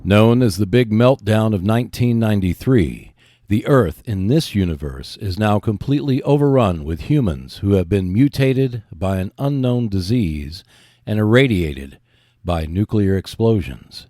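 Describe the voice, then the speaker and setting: Male podcast host, lower register, very animated delivery of scripted narration. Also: unhurried, no echo, clean studio sound.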